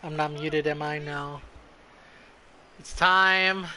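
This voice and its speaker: A man doing casual live commentary as a game streamer, with playful, sweary, excitable asides. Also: on the high side, slow pace.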